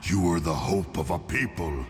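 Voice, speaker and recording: A man playing a scornful, regal sea-creature villain in a video game, speaking in solemn, accusatory oratory through a reverberant effect. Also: moderate pace. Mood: scornful, menacing. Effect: deep reverberant voice effect. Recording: long cavernous reverb.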